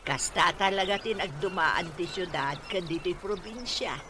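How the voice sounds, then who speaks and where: A woman playing a warm, teasing middle-aged provincial aunt in an Ilocano radio comedy drama. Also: fairly low pitch, moderate pace, natural voice. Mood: fond, teasing. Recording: noisy radio drama with ambience.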